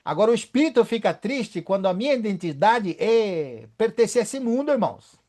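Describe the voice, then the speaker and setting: A man's voice: high-pitched, big pitch swings, brisk, a little mellow. A man leading a Bible study, emphatic and often asking his listeners for agreement.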